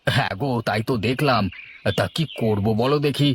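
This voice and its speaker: A man's voice: mid-range voice, moderate pace, slight background noise, natural voice. A man voicing a mild, concerned village uncle in a cartoon, in a conversational, coaxing way. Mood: calm, concerned. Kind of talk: amateur cartoon dubbing.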